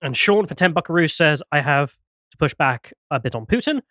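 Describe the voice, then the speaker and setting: A man's voice: on the high side, expressive, brisk, pushed and bright, no echo, clean studio sound. A man hosting a casual panel chat and reading out viewer messages.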